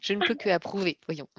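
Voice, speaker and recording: A woman's voice: medium pitch, breathy edge, dull audio. A woman hosting an interview, agreeing with her guest and laughing often. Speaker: host. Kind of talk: casual interview talk.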